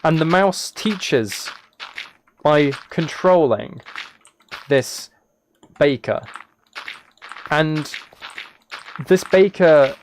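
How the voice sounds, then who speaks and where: A man's voice: fairly high pitch, expressive, extremely slow, pauses often, poor audio. A man casually rambling to viewers on a livestream, musing aloud and often restarting his phrases. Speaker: live streamer. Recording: background noise with keyboard typing and clicks.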